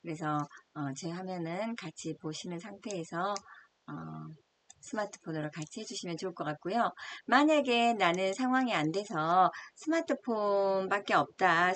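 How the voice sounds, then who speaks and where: A woman teaching smartphone basics over a video call, her voice sitting a little low. She speaks politely and gently, often pausing on soft fillers, with a faint hiss behind her. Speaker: smartphone instructor. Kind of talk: online video-call lecture.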